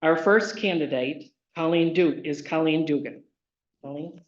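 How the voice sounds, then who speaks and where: A nominating committee member reads prepared remarks in a measured, formal way at a members' meeting. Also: mid-range voice. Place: meeting hall. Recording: roomy, dull meeting recording.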